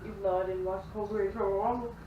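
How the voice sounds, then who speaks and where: A woman's voice: mid-range voice, fairly even intonation, racing. A young woman chatting casually as she hosts a home vlog. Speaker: vlog host. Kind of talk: casual chat. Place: echoey room at home. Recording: echoey room, distant muffled mic, faint noise.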